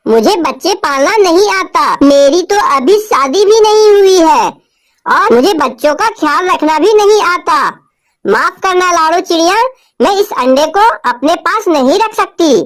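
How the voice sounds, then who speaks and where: Young cartoon parrot played by a woman: extremely high female voice, reluctant, apologetic. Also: animated, measured pace, long pauses. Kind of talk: Hindi cartoon dialogue.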